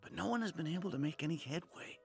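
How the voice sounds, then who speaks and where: Creaky-voiced, weary village merchant. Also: male voice, on the high side, expressive, fast. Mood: weary, discouraged. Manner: hesitant, apologetic, earnest. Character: weary, sincere male village merchant, hesitant and soft-hearted. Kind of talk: video game voice acting. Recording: music under the voice. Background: game music.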